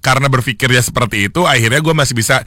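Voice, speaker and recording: A young man hosting a solo radio show, chatting casually with listeners and slipping English phrases into his talk, with a slightly hoarse throat. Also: medium pitch, rapid-fire, sharp tone, dry recording, clean studio sound. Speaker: radio host. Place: radio studio. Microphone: studio microphone.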